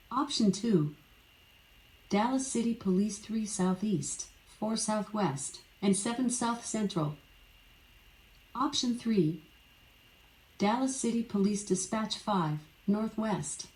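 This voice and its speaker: Female synthetic assistant, mellow and mid-pitched, scripted prompts, heard from a smart speaker. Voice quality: synthetic, evenly measured. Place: indoor room, from a speaker on the floor. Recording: smart speaker playing in a roomy space.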